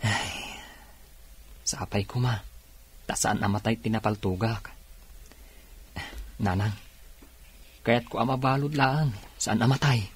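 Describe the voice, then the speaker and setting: A man playing a mild, dutiful police officer son in an Ilocano radio drama, with a slightly husky voice. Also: lowish voice, fairly even intonation, takes it slowly, pauses often. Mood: troubled.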